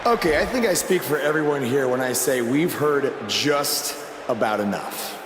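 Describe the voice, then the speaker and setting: A man's voice: mid-range voice, lively intonation, normal speed, a crowd in the background, natural voice. Cocky sarcastic newcomer wrestler played by a man, echoing arena. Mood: sarcastic, mocking. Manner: sarcastic, casual mocking. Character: cocky young male underdog wrestler, sarcastic challenger. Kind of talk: wrestling promo acting. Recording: echoey noisy arena.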